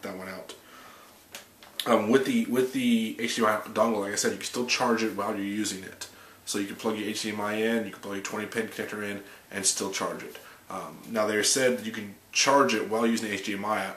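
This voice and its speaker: A man's voice: fairly low pitch, fairly bright tone. A man in his thirties with a stuffy cold, hosting a chatty, upbeat tech news recap to a webcam in a small home office, reading from notes with restarts. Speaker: tech video host. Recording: echoey room, faint room hum.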